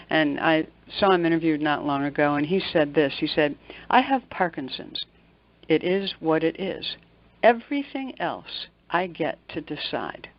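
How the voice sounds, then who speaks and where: Earnest mature woman, slightly muffled audio. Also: fairly low pitch. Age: middle-aged or older. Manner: earnest, emphatic, asks and answers own questions. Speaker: motivational speaker and coach, interview guest. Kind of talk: conversational interview answers.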